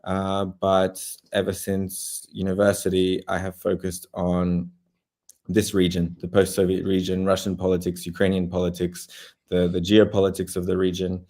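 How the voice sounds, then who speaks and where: A young man with an Australian accent talks in a deep, even voice that barely rises or falls. He answers at length, stopping and restarting often and filling gaps with "uh". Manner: halting, many fillers and restarts. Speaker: journalist guest. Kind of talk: interview answers.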